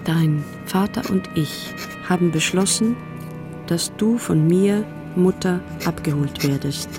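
A woman's voice: fairly low pitch, takes it slowly. An actress playing a composed, formal mother in a radio play, voicing a letter to her son. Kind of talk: German radio play, letter read in character. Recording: clear music behind the voice.

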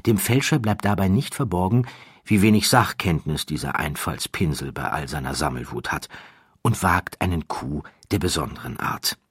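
Low husky guy narrating a true story, measured n a bit wry, dry close recording. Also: expressive, no room sound. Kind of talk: scripted documentary storytelling narration.

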